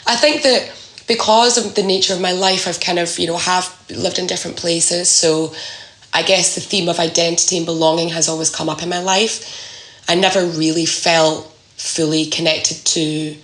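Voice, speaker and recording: A woman talking reflectively and casually as an interview guest, halting and thoughtful as she searches for words. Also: fairly low pitch, fairly even intonation, fairly bright tone, reverberant. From Scotland. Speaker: podcast guest sharing a personal story.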